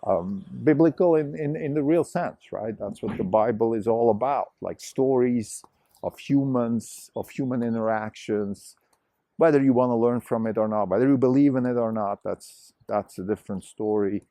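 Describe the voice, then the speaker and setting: A man's voice: medium pitch, moderate pace, dark tone, dry recording, clean studio sound. A middle-aged man, a novelist hosting his own show, thinking aloud in a rambling solo monologue full of digressions. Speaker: novelist and podcast host.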